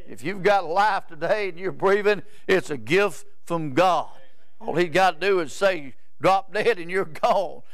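A man's voice: high voice, fairly bright tone, no room sound. A man, preaching a rambling, folksy sermon in a church sanctuary and often stopping to ask "Amen?". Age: 70s. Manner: rambling, repeats words, asks "Amen?" of the crowd.

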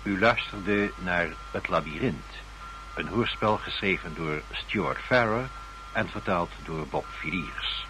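A man's voice: sits low, takes it slowly, background noise. A man working as a radio announcer, reading scripted credits in an old recording.

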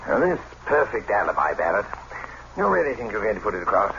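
An actor playing a confident, needling public prosecutor interrogating a suspect in a radio play. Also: male voice, lowish voice, sing-song, quick pace. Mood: confident, accusing. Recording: noisy, dull old radio recording.

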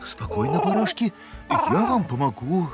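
A man voicing a big, fretful, tender-hearted male creature in a children's cartoon, fussy and expressive. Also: fairly high pitch, moderate pace. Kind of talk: children's animated series acting. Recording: studio cartoon dialogue under loud music. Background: cartoon music.

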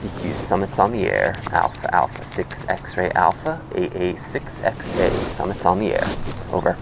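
American guy doing ham radio calls outside, low fry in his voice, clear outdoor noise. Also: big pitch swings, normal speed. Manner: repetitive, procedural calls. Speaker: amateur radio operator. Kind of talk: ham radio calling, spelled call signs. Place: outdoors on a hilltop.

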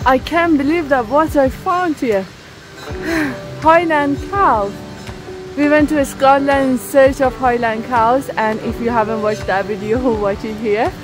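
Travel vlogger, woman, outdoors on a trail, slow and upbeat, music bed behind. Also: sits high, low-quality recording. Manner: enthusiastic, exclaims at views. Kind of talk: casual vlog narration while hiking.

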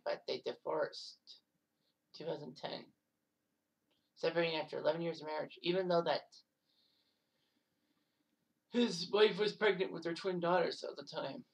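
A woman in her thirties narrating sports history casually, rambling with offhand asides and quick self-corrections. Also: lowish voice, creaky, distant mic, low-quality recording. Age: 30s.

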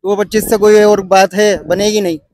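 A man in his thirties from Pakistan with a Karachi Urdu accent, filming a casual walkthrough of an outdoor cattle market on his phone and pressing sellers for lower prices. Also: steady tone, normal speed, a little mellow, no echo. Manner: chatty, pushes for a lower price. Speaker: vlogger.

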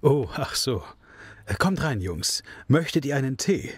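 A man's voice: medium pitch, big pitch swings, a little slow, slightly rough, no room sound. A man playing a mild, hesitant middle-aged father of a missing girl in an audio drama.

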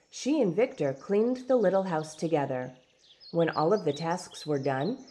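A woman reading a storybook aloud in expressive, deliberate, dramatic narration. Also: fairly low pitch. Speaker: storybook reader. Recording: background noise with birdsong.

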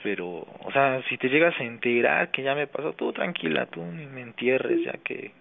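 A Mexican man talking informally on a phone call, slangy, halting and resigned. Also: mid-range voice, animated, gravelly. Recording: noisy, narrow-band phone call.

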